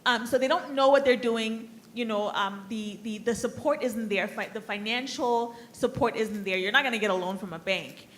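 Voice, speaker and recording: A woman speaking informally from the floor at a conference, candid and wry, in a halting, discursive way. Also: on the high side, fairly bright tone. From Liberia, grew up in the US. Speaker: audience member, central bank staffer. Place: conference hall, audience floor. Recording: noisy conference room microphone.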